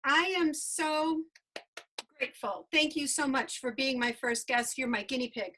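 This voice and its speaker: Slow, bright-voiced female host. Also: high voice, fairly even intonation, slight background noise. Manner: warm, slow and meandering, with many fillers. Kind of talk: casual interview hosting.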